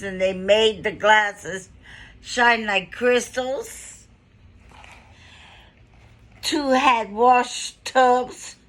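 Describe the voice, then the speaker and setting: A woman reading a children's storybook aloud in short phrases with pauses, now and then adding casual asides. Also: fairly high pitch. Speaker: storybook reader.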